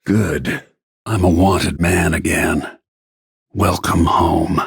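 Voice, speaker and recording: A grim middle-aged assassin from a video game. His voice is very husky and rasping, sitting low, with terse, restrained delivery. He sounds world-weary and brooding, recorded close and dry. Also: fairly even intonation, very slow, no echo, heavily acted. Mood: grim, determined. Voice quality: gravelly, husky. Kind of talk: scripted video game voice lines.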